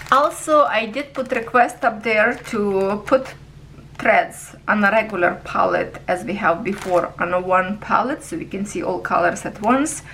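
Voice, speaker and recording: A woman's voice: mid-range voice, a little slow, long pauses, fairly bright tone, slight background noise, rough recording. A woman giving a casual product review on her craft channel, chatty and checking in with viewers, in non-native English phrasing.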